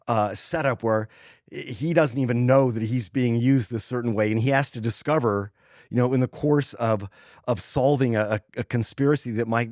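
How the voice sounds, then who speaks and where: A man's voice: mid-range voice, darkish tone, no room sound. Thoughtful man in his sixties, dry sound. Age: 60s. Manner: thoughtful, rambling with restarts and "you know". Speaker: guest author. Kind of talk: casual podcast interview.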